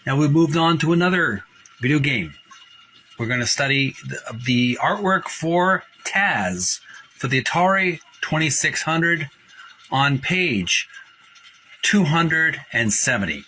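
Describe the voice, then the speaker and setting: Male host reading a book aloud, bright, a little high, easygoing with lots of "uh"s, faint noise behind. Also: slow, rough recording. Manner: relaxed, many fillers, reads quotes aloud. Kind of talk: casual book-reading video.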